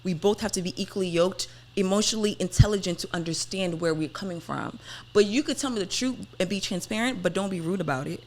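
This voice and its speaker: A woman's voice: mid-range voice, fast, a little mellow. A woman in her twenties chatting on a podcast, arguing her point in a pointed, insistent way. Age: 20s. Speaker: podcast host. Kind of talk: casual debate chat.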